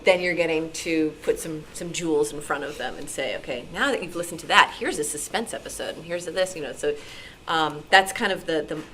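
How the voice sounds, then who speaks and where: Female panelist, lowish voice, conversational and hedging, explanatory, slightly noisy meeting recording. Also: brisk, few pauses, a bit bright. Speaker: radio programmer on a club panel. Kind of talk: explanatory talk to an audience.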